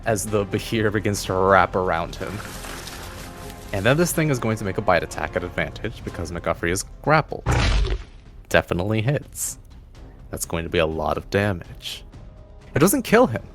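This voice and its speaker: A man's voice: low-pitched, sing-song, moderate pace, pauses often, a bit bright. A man narrating a tabletop game as game master. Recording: faint music bed under voice. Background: faint music.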